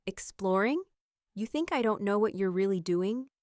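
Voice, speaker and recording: A woman's voice: mid-range voice, animated, moderate pace, no room sound, studio quality, close to an everyday voice. A woman voicing an angry male boss who speaks sharply and suspiciously. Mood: accusing. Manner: sharp, accusing.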